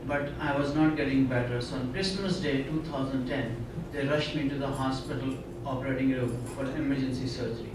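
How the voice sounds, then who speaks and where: A man's voice: mid-range voice, brisk, few pauses, background noise. A middle-aged man from India tells his personal story at a conference as an earnest storyteller who often says "you know.". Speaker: conference speaker. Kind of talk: personal testimony talk. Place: conference hall. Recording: echoey hall, speaker far from mic.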